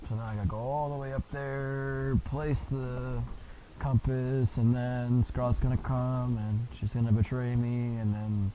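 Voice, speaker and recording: A man with a bassy voice giving casual, rambling, slangy commentary while streaming a game. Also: muffled recording, a little hiss or hum.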